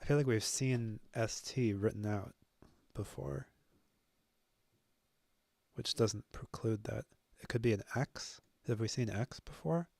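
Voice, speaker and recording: Slow, gravelly man thinking aloud. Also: on the low side, darkish tone, no echo, clean studio sound. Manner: musing, self-deprecating, halting with many fillers. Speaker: language-learning video host. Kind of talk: thinking aloud while self-studying.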